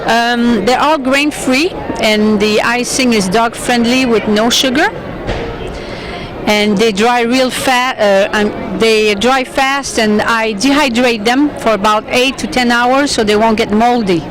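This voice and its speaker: A woman's voice: fairly high pitch, steady tone, a little slow, over music, rough recording. A woman vendor explaining her products in an interview, plain and slightly hesitant. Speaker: craft vendor. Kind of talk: casual interview. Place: outdoor event with crowd.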